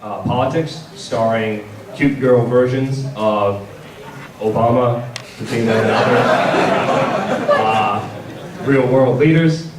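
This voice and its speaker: A man's voice: medium pitch, slow pace, pauses often. Man presenting in an echoey convention hall. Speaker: panel presenter for a game publisher. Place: large indoor convention panel room. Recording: echoey convention hall, distant mic, audience noise. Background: audience crowd.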